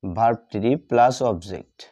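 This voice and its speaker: A man with a Bengali accent teaching a grammar lesson step by step, dictating in short, halting pieces and repeating himself. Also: fairly low pitch, mellow, breathy edge. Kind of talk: step-by-step lesson, explaining while writing. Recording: dull, muffled lo-fi audio.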